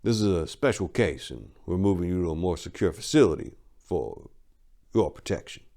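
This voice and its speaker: Man playing a low, hushed male investigator. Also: lively intonation, gravelly. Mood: businesslike. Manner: hushed, brisk. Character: short, squat, businesslike male police investigator.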